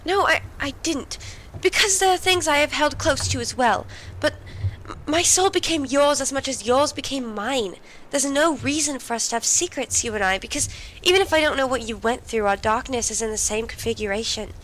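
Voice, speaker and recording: A woman's voice: high-pitched, normal speed, fairly bright tone, rough recording. A woman playing an earnest, wounded, devoted boy in an audio drama, speaking imploringly and intimately. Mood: confessing, urgent. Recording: faint background noise and ambience.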